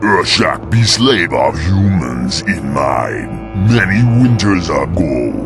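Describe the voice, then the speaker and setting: A man's voice: rumbling low, very animated, slow pace, exaggerated voice. An actor voicing an exiled orc shaman in a video game, solemn and earnest, speaking broken pidgin in short clipped phrases. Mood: grave. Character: exiled male orc shaman, grateful, solemn, primitive warrior. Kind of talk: video game character dialogue. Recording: loud music under the voice. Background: game music.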